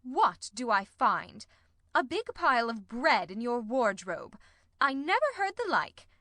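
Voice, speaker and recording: A woman's voice: fairly high pitch, big pitch swings, measured pace, bright, forceful tone, heavily acted. A woman voicing a stern, prim middle-aged housekeeper who scolds with contempt in an audiobook. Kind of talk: audiobook character voice.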